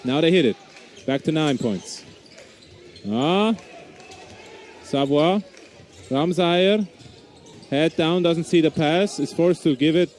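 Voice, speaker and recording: A man's voice: on the high side, takes it slowly, pauses often, a little mellow, a little hiss or hum. A man with a Swiss German accent, a non-native English speaker, giving live basketball play-by-play with frequent hesitations and restarts. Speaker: basketball commentator.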